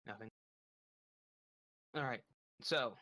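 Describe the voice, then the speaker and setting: A man acts as game master for a tabletop game, needling his players with pedantic jokes and casual swearing. His voice is bright and forceful. The sound is dry and close, with no room around it. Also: mid-range voice, slow, dry recording. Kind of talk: running a tabletop game over voice chat.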